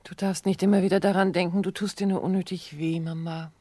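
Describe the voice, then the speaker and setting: An actress as a gentle, dutiful daughter. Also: female voice, fairly low pitch, little pitch movement, brisk, no echo, lightly acted. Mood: gently chiding. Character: dutiful, modest grown daughter caring for her mother. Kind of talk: German radio drama acting.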